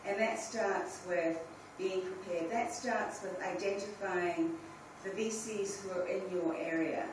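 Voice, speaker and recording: A woman's voice: mid-range voice, steady tone, unhurried. Middle-aged New woman in an echoing hall. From New Zealand. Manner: conversational, direct, restarts phrases. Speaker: panel speaker. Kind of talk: informal conference talk. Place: large conference hall. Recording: very echoey hall from far off, with ambient noise.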